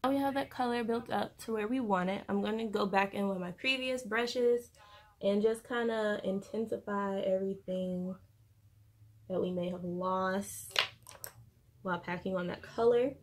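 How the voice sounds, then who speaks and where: A woman's voice: medium pitch, steady tone, moderate pace. A young woman casually chatting through a makeup tutorial in an informal, slangy way, recorded in a bedroom. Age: young adult. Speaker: beauty vlogger. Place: bedroom, indoors. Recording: noticeable room noise.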